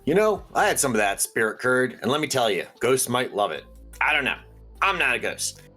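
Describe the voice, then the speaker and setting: Man playing a husky, folksy late-night radio host. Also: medium pitch, sing-song, fairly quick, bright, forceful tone, soft background music. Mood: rambling. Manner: theatrical, rambling, folksy. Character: eccentric, conspiracy-minded male late-night radio host, folksy and rambling. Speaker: radio call-in show host. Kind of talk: audio drama radio show patter.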